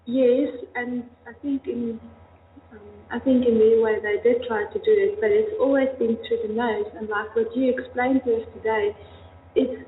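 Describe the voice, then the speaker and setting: A young woman talking earnestly about her singing voice and her teaching, often pausing to find the right words. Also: fairly high pitch, steady tone. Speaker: voice student and teacher. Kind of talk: candid workshop interview. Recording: tinny, echoey hall with faint hum.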